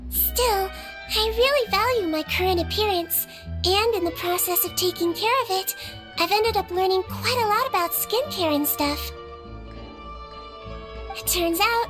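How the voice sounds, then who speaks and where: A woman voicing a small, gentle young girl nurse of a fairy-like species, polite and composed, in anime video game dialogue. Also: very high-pitched. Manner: composed, courteous, matter-of-fact. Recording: music bed behind the voice.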